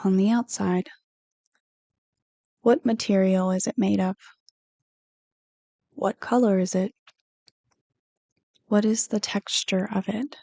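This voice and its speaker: A woman leads a guided meditation as a counselor would, with a mellow, relaxed tone. Her delivery is soothing and unhurried, staying on a level pitch as she gives gentle instructions. The sound is dry and close, free of room echo. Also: vocal fry. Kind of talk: guided meditation, read from a script.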